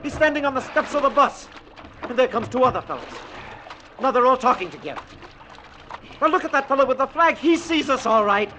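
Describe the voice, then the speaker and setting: A forceful, fairly high-voiced lifeboat captain in an old radio drama. He is earnest and commanding, keeping a hopeful, reassuring tone. Slight noise sits behind the voice. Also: animated, a little fast, long pauses, full character voice. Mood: urgent, calling out. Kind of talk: 1940s-50s radio acting.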